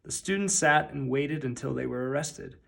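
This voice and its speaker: A man reading a children's picture book aloud as narrator, with deliberate, emphatic phrasing. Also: medium pitch, creaky, a bit bright.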